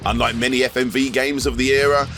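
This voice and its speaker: A man's voice: medium pitch, level tone, fast talker, music in the background. A man narrating a scripted video essay as host, dropping wry sarcastic asides.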